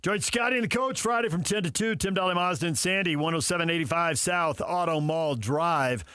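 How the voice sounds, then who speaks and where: Male sports-talk host, casual delivery, pitched a bit high, very dry close-mic studio recording. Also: fairly quick, pushed and bright, clear, resonant tone, no room sound. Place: radio studio. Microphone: close studio microphone.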